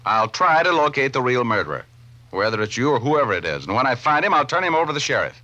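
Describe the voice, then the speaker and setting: A man's voice: medium pitch, big pitch swings, quick pace, bright, forceful tone, no echo, low-quality recording. A man playing a cocky, flirtatious private detective in 1940s radio drama style, wisecracking in slangy banter and narration. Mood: firm, probing. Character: wry, hard-boiled male private detective, flirtatious and cocky. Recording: vintage radio broadcast with music.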